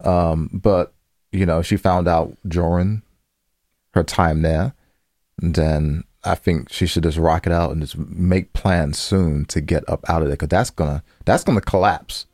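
Male podcast co-host, low-pitched, close dry sound, loose street-casual phrasing with some cursing. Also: fairly even intonation, measured pace, darkish tone, dry recording. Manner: informal slangy speech with swearing. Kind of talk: casual conversational advice discussion.

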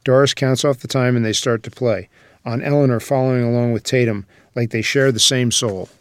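A man's voice: medium pitch, fairly even intonation, a little fast, no room sound. A man reading stage directions as scripted screenplay narration.

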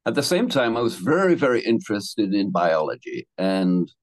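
A man's voice: on the low side, sing-song, moderate pace, no room sound. A man in his seventies from England, telling his life story as a guest in a casual interview, rambling with restarts and laughing between thoughts. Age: 70s.

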